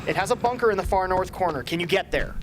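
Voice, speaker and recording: A man's voice: high voice, animated, fast talker. A man playing an authoritative, easily exasperated mission handler in an amateur radio drama. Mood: urgent, commanding. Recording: noisy amateur recording.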